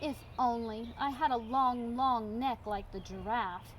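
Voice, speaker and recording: Woman voicing a plaintive, high storybook hippo. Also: a little slow, slight background noise, close to an everyday voice. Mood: wistful, sad. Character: earnest, self-doubting female hippopotamus, wistful and gentle. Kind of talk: children's storybook read-aloud.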